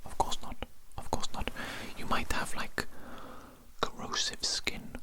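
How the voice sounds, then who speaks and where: A breathy, fully whispered voice in an ASMR roleplay, playing the head alien doctor of a facility. The delivery is self-important yet politely fussy. Phrases often come back a second time, murmured in the same unvoiced whisper. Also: measured pace.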